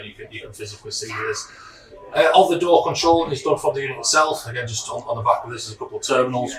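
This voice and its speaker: Man, product demonstrator, fast informal explaining, matter-of-fact, reverberant room. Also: mid-range voice, fairly bright tone. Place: indoors, echoey room. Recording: echoey room, distant microphone.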